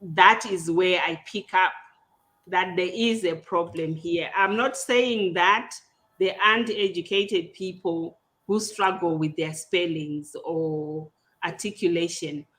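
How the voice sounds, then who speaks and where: Slow-speaking Zimbabwean woman host. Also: fairly low pitch, a bit bright. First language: Shona. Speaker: podcast host. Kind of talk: conversational hosting of an online panel. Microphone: video call.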